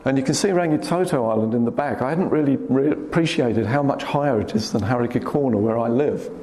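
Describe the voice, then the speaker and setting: A man with a quiet voice, giving a public talk about birds, gently pointing out places on his slides. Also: mid-range voice, fairly quick. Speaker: guest speaker, wildlife photographer. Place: hall at a club meeting. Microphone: speaker's microphone. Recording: echoey hall, faint room noise.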